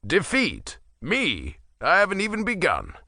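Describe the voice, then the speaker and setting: A man voicing a boastful, stubborn older father in an audiobook, loud and proud. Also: high-pitched, wildly swooping pitch, unhurried, dry recording, clean studio sound, pitched above the performer's own voice, exaggerated voice.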